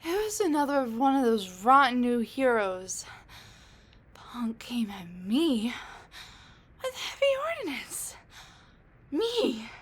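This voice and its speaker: Woman performing female supervillain, audio roleplay, sassy, weary, wry, slangy, very slow pace, fairly high pitch. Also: big pitch swings, breathy edge, full character voice. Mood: rambling, indignant. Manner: casual, wry, halting, slangy. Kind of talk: roleplay audio drama addressed to the listener.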